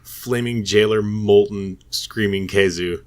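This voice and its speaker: A man's voice: on the low side, fairly even intonation, takes it slowly, a crowd in the background. A man chatting casually about video games as a guest on a podcast. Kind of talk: casual gaming chat.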